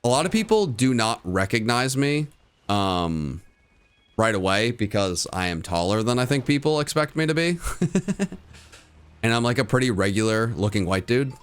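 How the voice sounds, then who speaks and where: A man's voice: mid-range voice, big pitch swings, moderate pace, smooth. A young man streaming, chatting casually with viewers, rambling through fillers and restarts with a distinct voice people call good for radio. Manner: rambling, lots of fillers and restarts, laughs often. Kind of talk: casual livestream chat with viewers.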